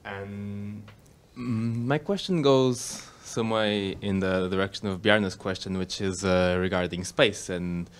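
A man from Portugal poses a question to a speaker after a talk. His voice sits fairly low, and he phrases things carefully, pausing to find his words. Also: fairly even intonation. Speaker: student asking a question. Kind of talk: audience question.

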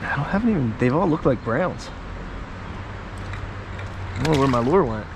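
A man's voice: medium pitch, expressive, moderate pace, background noise. Playful man chatting by a creek. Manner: playful, laughs easily, teasing questions. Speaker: fishing vlogger. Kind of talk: casual outdoor chat. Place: outdoors by a creek.

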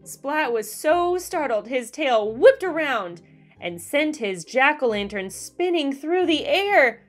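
A woman reading a children's storybook aloud in an expressive, deliberate storyteller style. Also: high-pitched, soft background music. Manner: animated, deliberate storyteller delivery. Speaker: children's read-aloud host. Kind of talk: expressive scripted storybook narration for kids.

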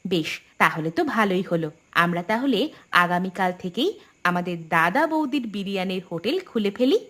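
A woman's voice: mid-range voice, expressive, brisk. A woman voicing a shrewd, bossy village wife in a cartoon, with a managing manner. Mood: assured. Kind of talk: cartoon voice acting. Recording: loud plucked-string music over voice.

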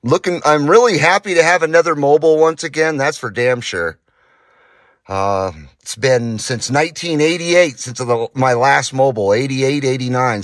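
A man's voice: medium pitch, no echo, clean studio sound. A middle-aged man giving a casual, enthusiastic hands-on demo in an informal way, as host of a hobby channel. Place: small indoor garage, partly from inside a car.

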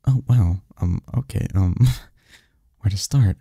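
Guy playing a snarky bookish research assistant in an audio roleplay, super soft dark tone, low voice, kinda pedantic and dismissive. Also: slow pace, husky, no room sound, studio quality, heavily acted. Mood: skeptical, lecturing. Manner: scoffing, chuckling, pedantic, hesitant stumbles. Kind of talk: roleplay audio drama, conversational monologue.

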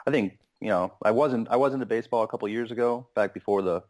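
A man's voice: medium pitch, fast, a little mellow. A man in his thirties from Pittsburgh, a podcast guest chatting casually and rambling with many ums. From Pittsburgh, USA. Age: 30s.

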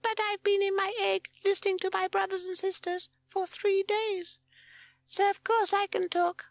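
A woman voicing a tiny, proud, inquisitive storybook baby bird with a squeaky voice. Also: flat delivery, normal speed, vocal fry, no room sound. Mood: cross, proud.

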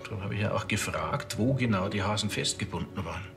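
An actor playing a defensive hunter under questioning, in a TV crime comedy. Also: male voice, fairly low pitch, fast talker, vocal fry, over music. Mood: explaining. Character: defensive, wagering Bavarian male hunter suspect.

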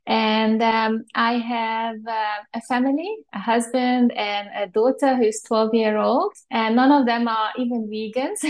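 A woman in her forties, from Hungary, talks as a guest on a video call. Her voice sits a little high, and her speech is chatty and loose, full of fillers and restarts. She is recorded at home through an earphone mic. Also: slow. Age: 40s. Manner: chatty, many fillers and restarts, laughs often. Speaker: interview guest. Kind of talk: casual video-call interview. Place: bright home room with shelves.

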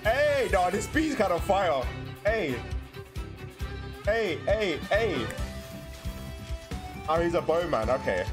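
A young man reacts out loud in a casual, expressive way, with slang and swearing. His fairly high voice leaps around a lot, and music plays behind him. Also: takes it slowly, pauses often. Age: young adult. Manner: expressive, exclamatory, slangy, profane. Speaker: reaction video host. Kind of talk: casual excited live reaction commentary. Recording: background game music under voice.

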